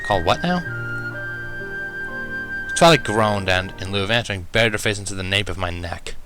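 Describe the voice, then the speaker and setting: A man's voice: deep voice, steady tone, quick pace. A man narrating a first-person story in a dramatic reading, with music behind him. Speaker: fan fiction reader. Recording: music under the voice.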